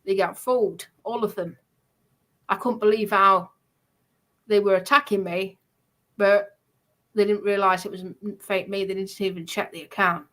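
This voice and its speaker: A woman's voice: medium pitch, fairly even intonation, moderate pace, long pauses, fairly bright tone. A woman speaks in a mid-range voice in casual conversation. She recounts personal grievances insistently, often hesitating and repeating herself.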